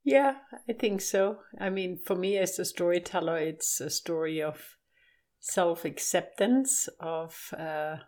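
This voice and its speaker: An older woman, a native German speaker with a clear German accent, talks reflectively as an interview guest. Her voice sits low and her delivery is slow, with halting moments as she searches for words. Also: darkish tone.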